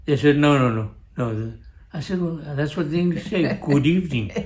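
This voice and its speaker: A man's voice: a little high, animated, a little mellow. Older man with an Arabic accent. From Jordan. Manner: halting, many uhs and restarts, chuckles at own story. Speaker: family show guest, father. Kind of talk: storytelling, casual family chat.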